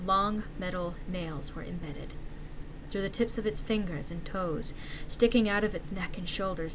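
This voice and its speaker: A woman's voice: medium pitch, close to an everyday voice. Woman reading as a frightened man, noisy recording. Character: frightened young man recounting a horror encounter. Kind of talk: dramatic horror story reading.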